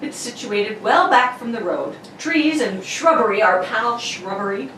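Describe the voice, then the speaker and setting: Unhurried woman narrator in a roomy space. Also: fairly high pitch, expressive, a bit bright. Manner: playful, prone to giggling and ad-libs. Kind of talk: dramatic scripted narration, hobby radio drama. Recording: roomy, distant mic with faint noise.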